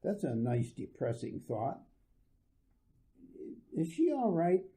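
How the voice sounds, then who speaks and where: A man playing an affable suburban husband and father in an old-fashioned radio drama, casual, folksy and gently concerned. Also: on the high side, huge pitch swings, slow pace, full character voice. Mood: relaxed, teasing.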